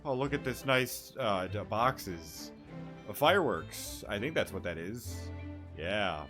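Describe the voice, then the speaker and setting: A man's voice: on the low side, animated, slow pace, over music. A man streaming a game, giving informal, joking let's play commentary. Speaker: gaming streamer.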